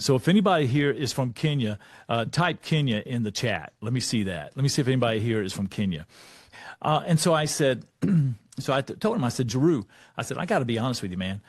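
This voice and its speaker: Animated older man telling a story. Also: medium pitch, no room sound, studio quality. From Texas. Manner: lively storyteller, animated, pausing to check notes. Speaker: motivational speaker and coach. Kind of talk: motivational storytelling talk.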